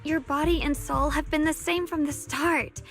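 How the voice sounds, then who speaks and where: A woman's voice: sits high, fairly even intonation, moderate pace. A woman voicing an earnest young swordswoman, a younger sister, in a video game. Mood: resolute. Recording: game music behind the voice.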